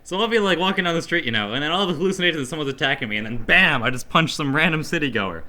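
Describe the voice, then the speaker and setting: A young man joking his way through improvised party game pitches with lots of fillers. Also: very fast. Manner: lively, joking, full of fillers.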